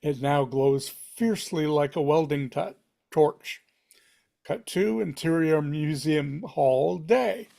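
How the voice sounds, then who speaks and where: A man narrates a screenplay for a table read. His voice sits a bit higher than average for a man. He delivers scene headings and action in short, punchy, dramatic phrases. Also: expressive, slow pace, creaky, warm tone. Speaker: stage-direction reader at table read. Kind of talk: reading screenplay directions aloud.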